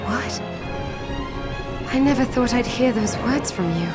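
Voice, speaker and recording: A young heroine voiced by a woman in a video game drama. Her voice sits fairly high and sounds earnest and resolute, carrying a burden. Music plays clearly behind her. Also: a little slow, close to an everyday voice. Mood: pleading. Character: young woman fighter, earnest, determined, burdened by crystal curse.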